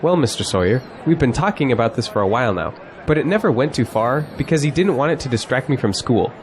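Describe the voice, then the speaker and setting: A man's voice: mid-range voice, lively intonation, fast talker, a little hiss or hum, close to an everyday voice. A man playing a cocky, exuberant young college graduate who is polite to elders, in an audio drama. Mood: confident. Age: young adult.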